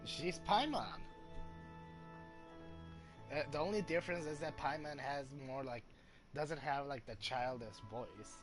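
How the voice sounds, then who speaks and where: A man doing casual livestream game commentary, explaining things in a hesitant, halting way and repeating himself. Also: a little high. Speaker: game streamer. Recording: game music behind the voice.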